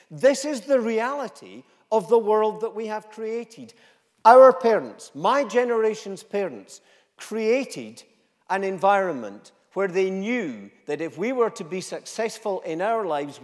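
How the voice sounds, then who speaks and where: Impassioned Scottish man in a big hall. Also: sits high. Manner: rhetorical, repeating lines for emphasis, swinging between loud and quiet. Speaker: chief executive giving a conference speech. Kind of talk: impassioned conference keynote speech. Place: large conference hall stage. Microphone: stage microphone, walking freely. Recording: long hall reverb.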